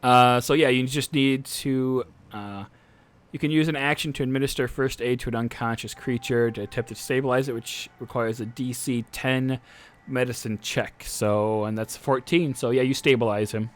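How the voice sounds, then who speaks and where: A man's voice: medium pitch, steady tone, moderate pace. A man acting as game master, narrating the action and explaining rules in a casual tabletop game.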